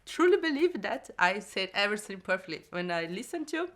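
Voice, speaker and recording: Brazilian woman, Portuguese native, bright and tense tone, laughs a lot, tells stories in halting English. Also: medium pitch. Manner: laughs often, animated storytelling in broken English. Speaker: podcast guest. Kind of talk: casual chat.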